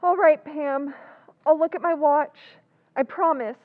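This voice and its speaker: A woman's voice: high voice, a little slow, phone-quality sound. An actress playing Kathy, a timid, frightened woman in an old-time radio drama, tearful and stammering as she obeys. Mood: meek. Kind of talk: 1940s radio drama acting.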